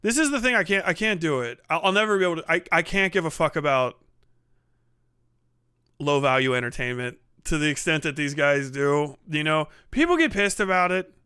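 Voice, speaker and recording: A man commentates casually on a livestream in a fairly high, clean voice. The sound is dry and close, and he is blunt, with plenty of swearing. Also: expressive, a little fast, pushed and bright, smooth, no echo. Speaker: livestream host.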